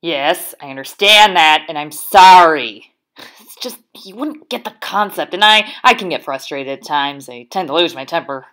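A woman voicing a bossy, hot-tempered zodiac creature in a cartoon who yells and wants to lead. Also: low-pitched, animated, moderate pace. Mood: apologetic. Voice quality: sharp, impatient, yelling. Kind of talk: cartoon voice acting.

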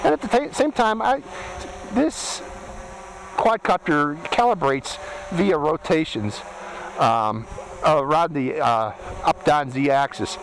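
A man's voice: fairly high pitch, expressive, slow, pauses often, fairly bright tone, low-quality recording. A middle-aged man with a Pittsburgh accent, giving a chatty, step-by-step product review in a casual hands-on style outdoors. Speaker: drone reviewer and channel host. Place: outdoors in a windy desert canyon. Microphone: body-worn hat camera. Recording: outdoor action-camera audio with noise.

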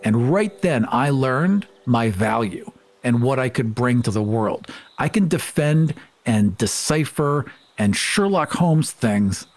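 A man's voice: mid-range voice, lively intonation, slow, a little mellow. A man playing a tabletop game, improvising casually in the first person as his character. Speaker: player on a tabletop podcast.

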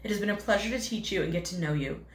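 A woman's voice: lowish voice, racing. A woman teacher speaks in a heartfelt, tearful way in an indoor video message. The room is noticeably echoey around her. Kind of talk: recorded video tribute.

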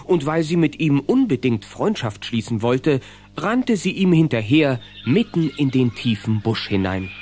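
A man's voice: medium pitch, very animated, unhurried, darkish tone, faint music behind. A man narrating a children's radio play in scripted storytelling style.

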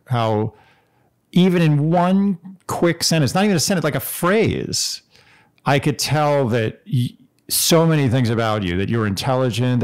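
A man's voice: mid-range voice, smooth. A man in his forties co-hosting a podcast from his kitchen, talking earnestly with lots of restarts. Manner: earnest, rambling with many likes and restarts. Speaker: podcast co-host. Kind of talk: casual chat. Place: home kitchen. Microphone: studio mic on boom arm.

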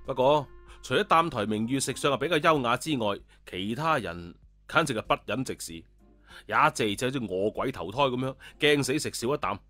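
A man narrating a scripted story in an animated storytelling style. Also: mid-range voice. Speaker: audiobook narrator. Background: background music.

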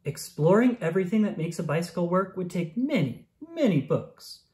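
A narrator reading a children's science book aloud in a clear, expressive storytelling manner for young children. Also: medium pitch, moderate pace.

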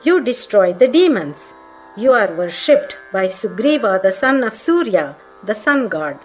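Slow devotional reading by a woman. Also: medium pitch, sing-song, low-quality recording. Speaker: verse reciter and translator. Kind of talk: scripted devotional recitation. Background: faint Indian classical music.

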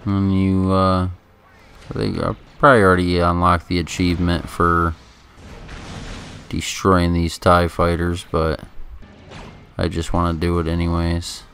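A man giving casual, laid-back video game commentary in a halting, chatty way, close to the microphone. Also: low-pitched, completely flat delivery, creaky, fairly bright tone. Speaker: gameplay commentator. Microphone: microphone held very close. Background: game music.

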